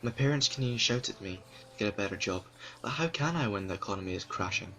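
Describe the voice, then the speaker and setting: A man's voice: fairly low pitch, expressive, fast talker, pushed and bright, a little hiss or hum, rough recording. A man voicing an anxious twenty-one-year-old woman who hears whispers, talking to herself in a fan audio drama. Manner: anxious, pleading monologue to herself. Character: troubled young woman, 21, hearing whispers. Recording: music playing behind.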